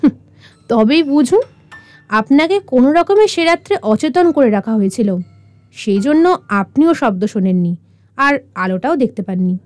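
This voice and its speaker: Casting: a sharp-minded, well-mannered young investigator in radio drama; high pitch, faint music underneath. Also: female voice, lively intonation, normal speed, no room sound. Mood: deductive. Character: young woman detective, sharp-minded, confident, polite. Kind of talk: Bengali radio drama acting.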